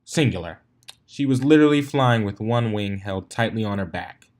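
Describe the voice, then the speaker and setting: A man's voice: fairly low pitch, animated, moderate pace. A man reading a fan fiction story aloud as narrator.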